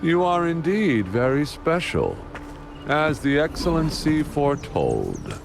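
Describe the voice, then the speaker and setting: A theatrical video game villain, gleeful and menacing. His pitch leaps and dives as he draws his words out in mockery. Loud music runs underneath. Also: wildly swooping pitch, vocal fry, exaggerated voice. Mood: smug. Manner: theatrical, drawn-out, mocking delivery.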